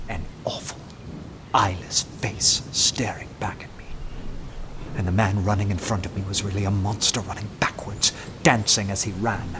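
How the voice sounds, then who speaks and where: An actor playing an earnest, awestruck detective who narrates a radio drama in an intense, dramatic manner. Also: male voice, lowish voice, a little hiss or hum, close to an everyday voice. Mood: horrified wonder. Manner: intense, dramatic, hushed at times.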